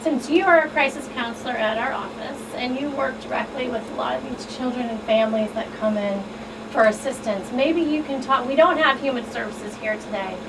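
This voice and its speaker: A woman's voice: on the high side, brisk, distant mic. A woman asking questions conversationally on a radio talk show. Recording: off-mic, clear background room noise.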